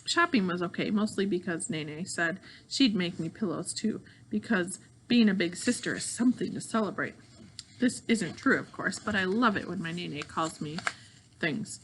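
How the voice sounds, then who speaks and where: A woman's voice: fairly low pitch, expressive, moderate pace, a bit bright. Woman as storybook little girl, precocious, dramatic, earnest, self-important, noisy recording. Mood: earnest, pleased. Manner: earnest, grandly wordy.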